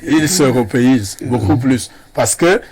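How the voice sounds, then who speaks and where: A man from Cameroon, speaking as a guest on a televised panel debate in a measured, lecturing way. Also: medium pitch, darkish tone, slightly rough, poor audio.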